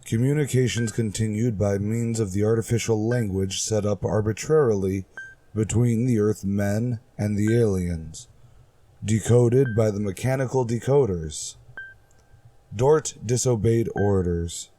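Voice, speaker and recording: A man's voice: on the low side, a little slow, mellow, a little hiss or hum. A man hosting and narrating a radio drama podcast with scripted delivery.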